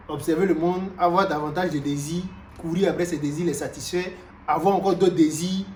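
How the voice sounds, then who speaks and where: A man from Cameroon, preaching a sermon in an animated way, moving from loud exclamations to quiet asides and repeating himself often. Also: fairly high pitch, moderate pace. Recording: roomy echo with faint background noise.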